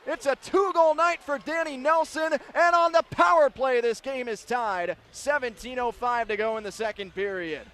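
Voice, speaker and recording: A man calling live hockey play-by-play on the radio in an excitable manner, ready to build into shouted calls. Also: extremely high pitch, normal speed, few pauses. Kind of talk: live sports radio commentary. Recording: live broadcast with clear background noise.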